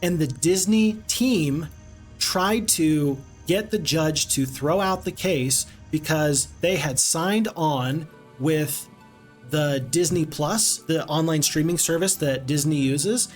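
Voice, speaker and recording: A man's voice: on the high side, takes it slowly, faint music behind. A man casually commenting on his strategy game stream, rambling and chatting to viewers. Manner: chatty, rambling, reads viewer chat aloud.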